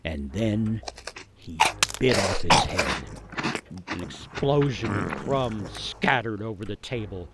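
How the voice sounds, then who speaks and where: A man's voice: on the low side, lively intonation, slow, very noisy. A man narrating a scripted radio story in a theatrical, suspenseful, dramatic way.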